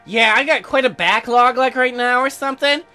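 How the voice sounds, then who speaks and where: A man's voice: normal speed, sharp tone. A man voicing a young newlywed colonist wife, chirpy but testy, in a visual novel game. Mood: friendly. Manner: high-pitched girlish put-on, narrating and bickering. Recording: music behind.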